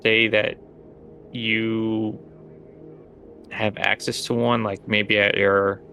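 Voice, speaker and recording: Low, creaky-voiced man running a game. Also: level tone, takes it slowly, sharp tone, soft background music. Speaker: game master. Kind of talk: improvised narration of a tabletop game.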